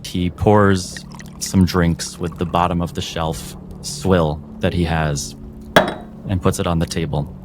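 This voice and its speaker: Guy running a tabletop game, deep slow dramatic narration, music behind. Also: fairly even intonation. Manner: measured, dramatic scene-setting. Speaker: game master.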